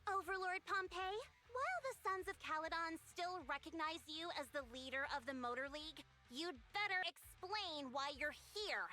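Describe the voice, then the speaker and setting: A woman's voice: very high-pitched, animated, normal speed, few pauses, sharp tone, soft background music, heavily acted. An actress playing a feisty, suspicious young woman in a biker gang in a video game, confrontational and sarcastic. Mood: defiant.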